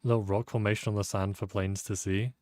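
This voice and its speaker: A man's voice: on the low side, flat delivery, fairly quick, a little mellow. A man streaming a game, thinking aloud about a puzzle in a casual, sleepy, self-deprecating way. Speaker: gameplay streamer. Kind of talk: casual let's-play commentary.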